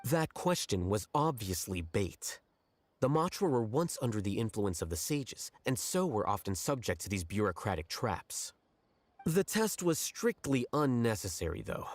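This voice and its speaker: Aloof, low-voiced deadpan scholar. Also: male voice, dry recording. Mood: detached, explaining. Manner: deadpan, dry, measured, precise. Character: aloof, blunt, rational young male scholar-official. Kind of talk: video game voice acting.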